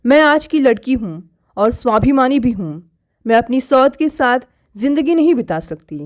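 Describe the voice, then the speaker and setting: High-voiced, indignant wronged wife, read by a woman. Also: lively intonation, normal speed. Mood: indignant, hurt. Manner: indignant, challenging, rhetorical questioning. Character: proud, wronged modern wife confronting her husband.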